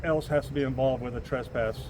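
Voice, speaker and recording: A man's voice: mid-range voice, fairly even intonation, fairly quick. A male police sergeant explaining the law informally and patiently, often checking that the listener follows. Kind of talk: explaining the law in casual back-and-forth. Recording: noisy outdoor recording with traffic.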